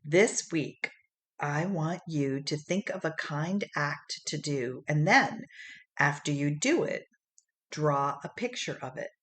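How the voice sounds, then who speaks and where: A woman voicing a kind, patient female primary school teacher in a children's storybook, explaining gently and encouragingly. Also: low voice, expressive, takes it slowly, full character voice.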